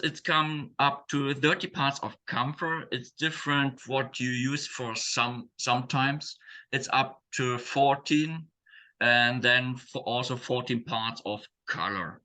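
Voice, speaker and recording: A man gives an online talk in English, pausing often to find his words. His voice is very bright and forward. The pitch sits right in the middle for a man. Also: low-quality recording. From Germany. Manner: halting, searching for words, frequent fillers. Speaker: accordion shop owner and presenter. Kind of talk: webinar presentation.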